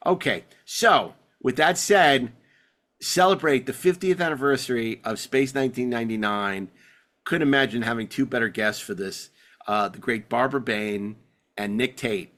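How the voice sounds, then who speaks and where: A man's voice: mid-range voice, normal speed, fairly bright tone. Male podcast host, ordinary mid-range voice, casual and chatty, talks like a keen fan. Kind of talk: casual chat.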